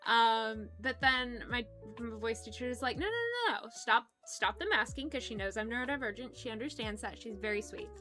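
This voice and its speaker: A woman's voice: on the high side, expressive, normal speed, hardly any pauses, pushed and bright, soft background music. A young woman streaming, chatting casually with her viewers in a rambling, giggly way that often trips over words. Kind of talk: casual chat with live chat.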